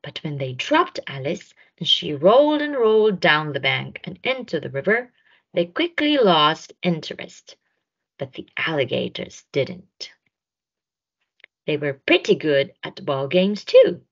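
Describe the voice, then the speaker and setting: A woman reads a children's story at a slow pace with very lively intonation. She leans on words for young listeners, and her lowish voice has a husky edge. The recording is dull and lo-fi. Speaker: storyteller reading a picture book. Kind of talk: expressive children's read-aloud narration.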